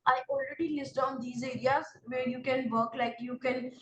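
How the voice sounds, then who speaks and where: A high-pitched woman with a Pakistani English accent gives earnest, direct coaching in the second person, often pausing on fillers. She sits back from the mic in a reverberant room with faint background ambience. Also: flat delivery, recorded from a distance, a little hiss or hum. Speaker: exam prep instructor. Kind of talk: spoken advice to exam candidates.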